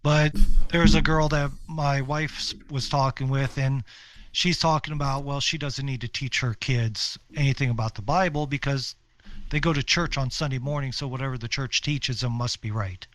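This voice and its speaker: Bright, forceful middle-aged American man. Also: mid-range voice, steady tone, moderate pace, no room sound. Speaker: podcast co-host. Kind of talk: casual opinionated chat.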